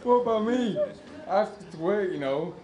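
A man's voice: high voice, lively intonation, moderate pace, crowd noise. A young British man playing a tired, irritable young father in an amateur radio play, read aloud. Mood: angry, defensive. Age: young adult. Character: irritable, tired young father who feels put upon. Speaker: amateur performer in a young persons' group. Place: large conference room before an audience. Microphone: handheld or stand microphone. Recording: echoey, slightly noisy hall.

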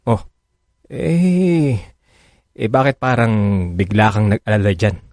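An actor with a Filipino accent playing a doting, reassuring boyfriend in a radio drama, casual and soothing. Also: male voice, lowish voice, lively intonation, slow pace, darkish tone, no echo, studio quality.

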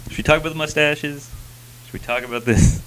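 A man's voice: on the high side, fairly even intonation, fairly quick, a bit bright, rough recording. A young man co-hosting a casual comic review chat into a handheld mic, informal and joking. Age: young adult. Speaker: review show co-host. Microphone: handheld microphone.

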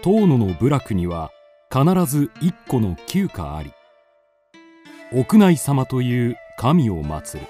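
Slow, mellow man narrating solemnly. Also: mid-range voice, huge pitch swings, soft background music. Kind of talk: classical scripted narration.

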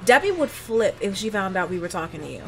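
A woman's voice: medium pitch, very animated, fast, fairly bright tone. A woman playing a tough, protective, blunt teenage girl from a 1950s gang in a comic dub. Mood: wary. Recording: music behind the voice.

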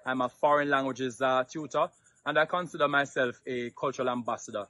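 Bright-voiced Jamaican man, upbeat. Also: mid-range voice, fairly even intonation, no room sound. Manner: upbeat, with frequent "um" pauses. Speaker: dance instructor and cultural ambassador. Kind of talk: self-introduction to camera. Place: outdoors among green foliage.

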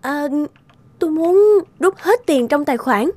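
A woman's voice: high voice, expressive, unhurried, a little mellow, no room sound. A woman voicing a timid, anxious young housemaid in a cartoon, polite and hesitant. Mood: nervous. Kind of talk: cartoon voice acting. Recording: faint music behind the voice.

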